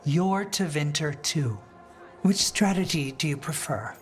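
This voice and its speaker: A woman's voice: low voice, lively intonation, a little slow, faint music behind. A woman playing a dignified, principled politician in a video game, speaking in a firm, earnest and articulate way. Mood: stern, resolute. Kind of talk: video game dialogue acting.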